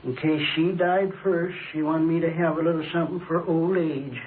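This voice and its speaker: A man's voice: on the high side, steady tone, normal speed, a little hiss or hum, close to an everyday voice. Man playing an anxious old murder suspect, tinny vintage radio. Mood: uneasy, explaining. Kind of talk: old-time radio drama acting.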